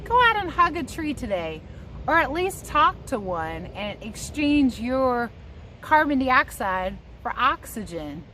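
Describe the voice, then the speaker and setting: A woman's voice: high voice, very animated, slow pace, bright, forceful tone. A woman teacher reading a picture book aloud to children outdoors, adding casual asides and speaking to her young listeners in an encouraging way. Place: outdoors under trees near a house. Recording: outdoor background noise, passing aircraft.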